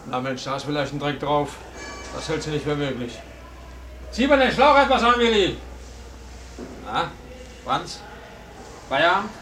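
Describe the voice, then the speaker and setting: An actor playing a brash, joshing tram depot car washer in a German radio play. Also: male voice, on the high side, lively intonation, measured pace, pauses often. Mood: gruffly cheerful. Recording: echoey space, faint noise.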